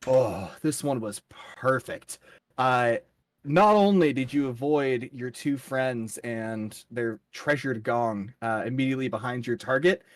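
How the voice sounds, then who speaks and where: A man's voice: mid-range voice, no room sound. A man narrating a tabletop game as game master in a casual, chatty, colorful and informal way.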